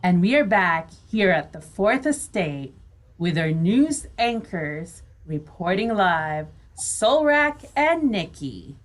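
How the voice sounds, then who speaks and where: A woman's voice: on the low side, very animated, takes it slowly. A woman radio DJ reading upbeat station promos and show schedules as an announcer. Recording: music bed behind the voice. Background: music.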